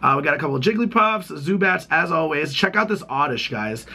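Young male gaming vlogger, voice hoarse and gravelly, slightly high, chatty and excitable. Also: a little fast. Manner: excitable, chatty, rambling with many "like"s. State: hoarse, recovering from lost voice. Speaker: gaming YouTuber. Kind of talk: casual gameplay vlog. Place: small room indoors.

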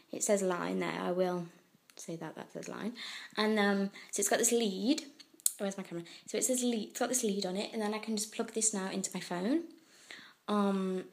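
A young woman from the UK with a croaky voice, rambling through a casual video diary with lots of fillers. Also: mid-range voice. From United Kingdom. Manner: rambling, chatty, many fillers and trailing asides. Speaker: vlogger. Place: indoors, abroad in Italy. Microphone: handheld phone camera.

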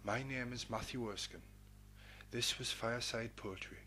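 A man hosting a poetry programme and reading verse in a measured, dramatic, declamatory manner with a husky voice. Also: mid-range voice, bright, forceful tone, a little hiss or hum. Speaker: poetry programme host and reader.

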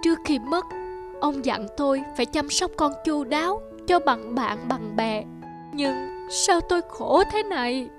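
A woman playing a poor, self-sacrificing elderly mother in an animated moral tale, patient, plaintive and weary. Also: high-pitched, a little slow, over music. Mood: sorrowful.

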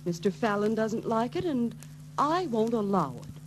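An actress playing a bossy, fussing wife, prim and chiding, in 1940s film style. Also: female voice, on the high side, sing-song, normal speed. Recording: faintly noisy 1940s film soundtrack.

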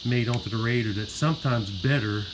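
A man's voice: medium pitch, a little fast. Man explaining his radio gear, noisy garage. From Florida. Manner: relaxed, filler-heavy, explaining as he goes. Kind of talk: casual show-and-tell. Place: home garage and yard. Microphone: handheld phone camera.

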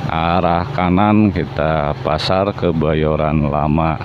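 A man's voice: very deep voice, fairly even intonation, takes it slowly. A man giving casual motovlog commentary while riding outdoors, pointing out directions as he goes. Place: outdoors, riding a motorbike on city streets. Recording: muffled, loud motorbike and traffic noise. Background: engine and street traffic noise.

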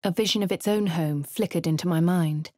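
A woman's voice: lowish voice, fairly quick, warm tone, no room sound, studio quality, natural voice. An actress voicing a posh female adventurer with a posh English accent, delivering cool, wry game lines and narration. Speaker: player character. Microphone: close studio mic, dry.

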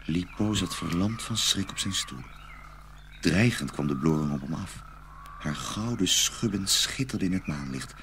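Very deep, gravelly male storyteller. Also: lively intonation, unhurried, fairly bright tone, slight background noise. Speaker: narrator. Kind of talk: dramatic storytelling of a dark fairy tale.

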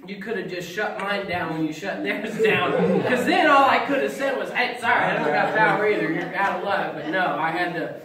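High-voiced man telling jokes. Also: a little fast, hardly any pauses, crowd noise. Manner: folksy storytelling, gets loud and emphatic at punchlines. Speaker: stand-up comedian. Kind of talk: stand-up comedy at a congregation event. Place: small fellowship hall with audience. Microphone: far camera, no mic. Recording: echoey hall recorded from far off with audience laughter.

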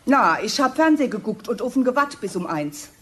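A woman's voice: a little high, sing-song, brisk. An actress playing a snippy, blunt, scornful girlfriend in a German radio crime drama. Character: snippy girlfriend of the suspect tenant.

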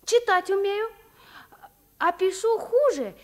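A woman's voice: expressive, takes it slowly, full character voice. An actress playing an earnest, outspoken nine-year-old girl in a radio play, with a candid, childlike manner. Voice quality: high, childlike. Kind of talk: Soviet radio play acting. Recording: vintage studio radio drama, piano music.

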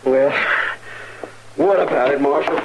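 A man's voice: fairly high pitch, a little slow, background noise. An actor playing a hot-tempered, sullen, defensive young American frontier man in a 1950s radio western. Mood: angry. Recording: old radio recording, loud saloon crowd.

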